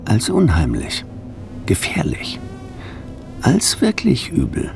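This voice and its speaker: A man's voice: medium pitch, wildly swooping pitch, takes it slowly, creaky, music in the background, full character voice. An actor playing an ominous male narrator who delivers dramatic, warning narration in a radio drama.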